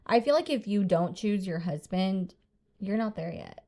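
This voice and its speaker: A woman's voice: mid-range voice, expressive, normal speed, mellow. A woman in her late twenties, co-hosting a podcast in casual, blunt chat that mixes in Spanish phrases. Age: 20s. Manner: candid, swears freely, mixes in Spanish phrases. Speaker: podcast co-host.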